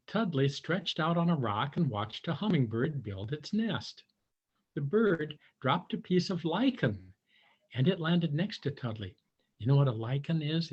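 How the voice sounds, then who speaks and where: A man's voice: medium pitch, darkish tone, breathy edge, highs cut off. A man reading a children's storybook aloud, chatting to his young listeners in conversational asides.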